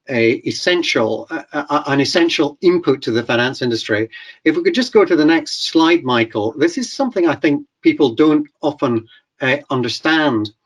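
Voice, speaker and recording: Scottish male presenter, webinar talk, halting delivery with lots of fillers. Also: medium pitch, dull audio. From Scotland. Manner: hesitant, many fillers and restarts. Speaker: webinar speaker. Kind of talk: presentation with slides. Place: video call webinar.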